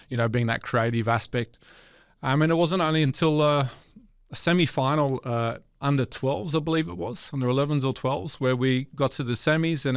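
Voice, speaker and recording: Smooth-voiced Australian man, reflective. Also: medium pitch, no echo, clean studio sound. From Western Sydney, Australia. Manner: reflective, many fillers and restarts. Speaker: guest, former goalkeeper. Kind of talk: casual interview.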